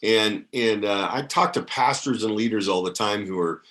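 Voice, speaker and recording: A man's voice: on the low side, normal speed, a bit bright. A man hosting an interview podcast, encouraging his guest with affirming words and hesitant fillers. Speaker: podcast host.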